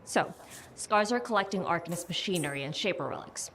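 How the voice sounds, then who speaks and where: An actress playing a practical, plain-spoken woman who hands out contracts in a video game, brisk and businesslike. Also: fairly low pitch, animated, a bit bright, slight background noise. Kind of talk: video game character dialogue.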